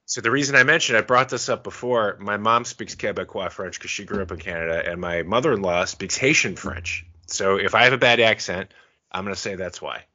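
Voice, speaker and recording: A man who likes to tease his co-hosts, talking in a relaxed podcast chat. His voice sits a bit low but sounds sharp and forceful. The recording is muffled. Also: a little fast. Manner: teasing, joking. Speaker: podcast co-host. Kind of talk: casual chat. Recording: dull lo-fi sound.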